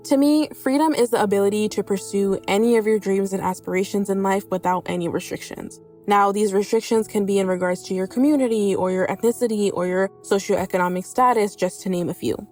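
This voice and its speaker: A woman's voice: medium pitch, fairly quick, hardly any pauses, darkish tone, soft background music. A young woman from New York, a content writer, reading an earnest personal reflection. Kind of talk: personal reflection read aloud.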